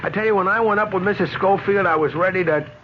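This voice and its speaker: An actor playing a proud, moody blind husband in old-time radio drama, speaking in a halting, grumbling, emotional way. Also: male voice, sits high, natural voice. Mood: frustrated. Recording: noisy, muffled old radio broadcast.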